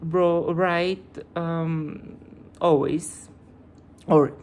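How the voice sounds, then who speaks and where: A woman with a Bulgarian accent, a native Bulgarian speaker, talking hesitantly to camera in a casual vlog and often pausing to search for words. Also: fairly low pitch, fairly even intonation, a little mellow. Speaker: YouTuber.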